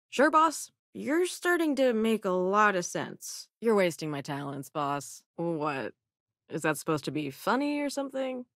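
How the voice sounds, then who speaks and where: A woman's voice: mid-range voice, no echo, clean studio sound, close to an everyday voice. A woman playing a sassy, self-assured young scientist and smuggler companion in a space video game, with a wry, casual manner. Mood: wry, confident. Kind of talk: acted video game dialogue lines.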